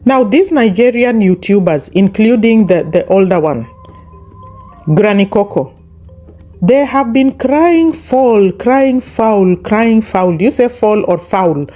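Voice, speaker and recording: Emphatic woman with a mellow voice. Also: mid-range voice, animated, slow pace, soft background music. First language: not English. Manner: emphatic, repetitive, speaks straight to viewers. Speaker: YouTube commentator. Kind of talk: reaction commentary monologue.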